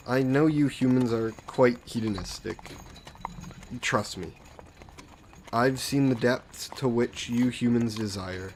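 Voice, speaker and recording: A man acts out a lordly male vampire with a mid-pitched voice, addressing a listener in a fantasy audio drama. He speaks very slowly, with suave condescension and soft, possessive coaxing. Background noise runs behind the voice. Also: close to an everyday voice. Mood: coaxing, condescending. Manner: unhurried, smug, genteel, softly coaxing. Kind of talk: scripted roleplay audio drama monologue.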